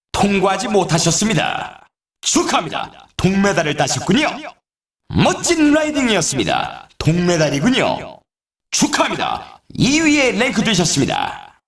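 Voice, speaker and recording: An excitable male video game announcer calling snowboard races. His voice sits high and swings dramatically up and down. The phrasing stays formal and polite, delivered with exclamatory, showy flair. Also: creaky, reverberant, full character voice.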